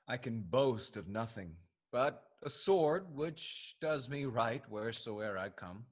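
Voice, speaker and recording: An actor playing a bold, amorous young English rover captain in a classical stage comedy, with a slightly husky voice and a theatrical swagger. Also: male voice, medium pitch.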